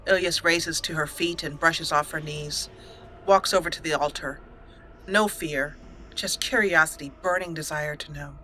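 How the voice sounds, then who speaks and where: A woman hosting a let's play, telling the game's events as a roleplay story in a measured way. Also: low-pitched, sharp tone, slight background noise, low-quality recording. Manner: measured storytelling, with an occasional chuckle. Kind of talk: roleplay narration of a video game.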